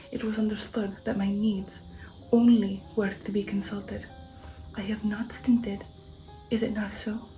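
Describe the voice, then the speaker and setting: A woman voicing a commanding, formal old count in an ASMR storybook reading, with deliberate phrasing. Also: mid-range voice, dark tone, lightly acted, low-quality recording. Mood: insistent. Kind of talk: ASMR audiobook character reading.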